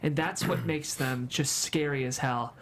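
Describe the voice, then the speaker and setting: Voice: medium pitch, unhurried, a bit bright, slight background noise. A narrator reading screenplay stage directions at a table read in a dramatic storytelling manner.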